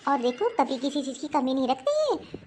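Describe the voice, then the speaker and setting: A woman playing a middle-aged mother-in-law who runs the household, scolding her daughters-in-law loudly in a homemade family skit. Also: high-pitched, sing-song, brisk, a little hiss or hum. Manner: loud, exasperated scolding.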